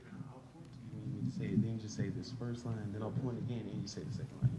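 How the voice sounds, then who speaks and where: A man's voice: on the low side, fairly even intonation, fast talker, dark tone, husky, rough recording. A man asking a request as a fan at a panel, casual and hesitant. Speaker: fan at the microphone. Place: convention panel room.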